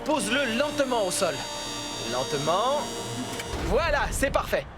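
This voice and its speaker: A man's voice: very high-pitched, big pitch swings, measured pace, exaggerated voice. A man voicing an upbeat, capable builder who leads his crew in a French cartoon dub. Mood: upbeat, instructing. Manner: clear, encouraging instructions. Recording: music bed behind the voice.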